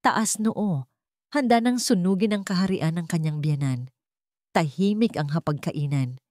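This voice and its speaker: A woman's voice: lowish voice, a little slow, darkish tone, no room sound, clean studio sound. A woman reading a dramatic scripted audiobook story as its narrator.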